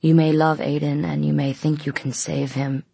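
Woman reading as a brooding, formal male vampire, gravelly. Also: deep voice, little pitch movement, normal speed, mellow, dry recording, heavily acted. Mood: earnest, pleading. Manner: oddly formal, ornate speech.

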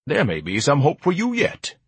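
A man's voice: fairly high pitch, huge pitch swings, moderate pace, breathy edge, no room sound, pitched above the performer's own voice, full character voice. A man voicing a pompous, indignant, gluttonous educated man with grandiose, haughty diction in an audiobook. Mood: smug. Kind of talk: audiobook character acting.

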